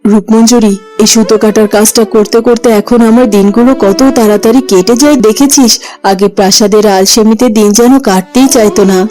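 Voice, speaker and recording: A woman's voice: on the high side, steady tone, a little fast, dark tone, faint music behind, pitched below the performer's own voice, close to an everyday voice. A woman voicing a contented, reflective palace thread-spinner chatting to a friend in a cartoon. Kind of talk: cartoon voice acting.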